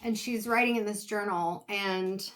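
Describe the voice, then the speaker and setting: A woman giving a casual tarot reading straight to the viewer. She is losing her voice and keeps clearing her throat as she talks in a meandering, reflective way. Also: mid-range voice, fairly even intonation, a little slow, fairly bright tone, rough recording. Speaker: tarot reader.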